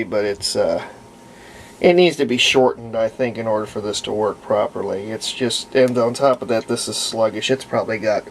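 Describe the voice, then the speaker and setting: A man's voice: mid-range voice, slight background noise. A man with a folksy way of talking narrates hands-on repair work casually, thinking aloud. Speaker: radio restoration hobbyist.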